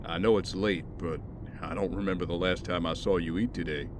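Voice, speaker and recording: Guy playing a concerned driver in an audio drama, low gentle voice, bit of background noise. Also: fairly even intonation, brisk, close to an everyday voice. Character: caring, easygoing male driver, protective and concerned.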